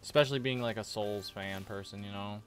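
A young man giving a casual, halting, slangy reaction commentary as a gaming YouTuber at a desk indoors. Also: lowish voice, steady tone. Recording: clear background noise of game ambience.

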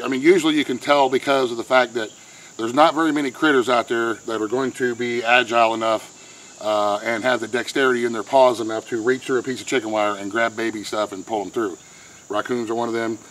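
Middle-aged homesteader, folksy how-to talk, outdoor camera mic, faint insects. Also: male voice, mid-range voice, a bit bright, slight background noise, rough recording. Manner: folksy, plain-spoken, explains step by step. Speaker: homesteader showing how to set traps. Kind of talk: casual how-to explanation. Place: outdoors by a pen and a wooded creek. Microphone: camera mic, handheld camera close by. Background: faint outdoor insects and crickets.

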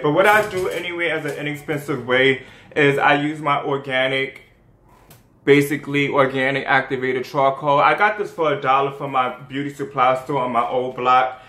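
A man's voice: medium pitch, bright, forceful tone. Young new york guy rambling on about his skincare routine, lots of ums and likes, bit of room echo. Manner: rambling, chatty, many fillers and restarts. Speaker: skincare vlogger. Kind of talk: casual how-to vlog.

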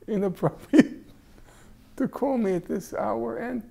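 A man's voice: extremely high pitch, slow pace, gravelly, dark tone. An older man teaching a class in a library room, joking wryly and mocking himself as he talks to students. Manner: wry, joking, self-mocking. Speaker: class instructor. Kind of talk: casual classroom talk.

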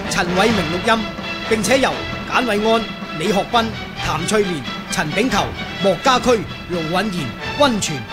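A man with a Hong Kong Cantonese accent formally announcing scripted credits for a radio drama. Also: high-pitched. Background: loud theme music.

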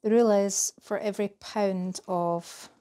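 A woman in her thirties from Scotland, casually explaining a recipe in her home kitchen with a chatty, relaxed manner and some fillers. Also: mid-range voice, measured pace. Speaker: vlogger. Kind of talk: casual narration while cooking.

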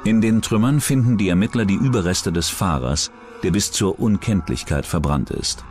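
A man's voice: low-pitched, normal speed, darkish tone. A man reading scripted true-crime documentary narration as the narrator. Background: background music.

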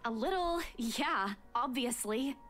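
A high, swooping voice for a young woman in a visual novel, put on by a woman reader, flustered and quick to apologise. She pleads hesitantly, with music playing behind her. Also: animated, a bit bright, heavily acted. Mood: resigned. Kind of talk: visual novel character read-aloud.

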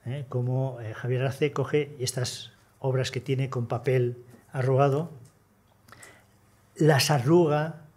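An older man giving a measured, explanatory seminar talk on conservation, pausing often with hesitations, seated at a table in a conference room. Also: medium pitch, steady tone, a little mellow, slightly rough.

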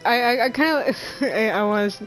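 A young woman chatting casually as she plays a game, excitable, giggly and rambling. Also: fairly high pitch, moderate pace, soft background music. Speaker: gamer. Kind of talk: casual chat over gameplay.

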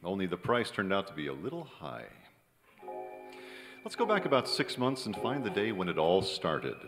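A man acting as a dry, wry screenwriter in a noir radio play. His voice is lowish and moves freely in pitch, echoing in a theater with music behind. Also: wildly swooping pitch, moderate pace, exaggerated voice. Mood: wry, resigned. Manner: sardonic, dry. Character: wry, down-on-his-luck male Hollywood screenwriter. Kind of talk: noir radio drama narration and dialogue. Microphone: stand microphone on stage.

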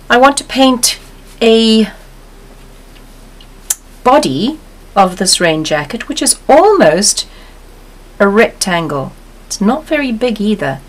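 Woman, painting tutorial voice, calm, explanatory, repeats steps. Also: mid-range voice. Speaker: painting instructor. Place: studio table, overhead camera on canvas.

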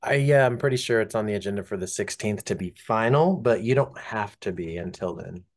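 Man on city staff, lower voice, relaxed helpful meeting updates. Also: brisk. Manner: casual, helpful, loose phrasing with fillers. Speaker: city staff member advising the board. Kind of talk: meeting remarks.

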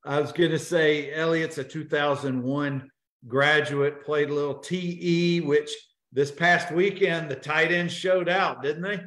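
A man in his thirties hosting a live video interview, upbeat and joking with quick asides. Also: on the high side, steady tone, fairly bright tone. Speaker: host. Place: home office, indoors. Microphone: video call. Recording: roomy video call audio.

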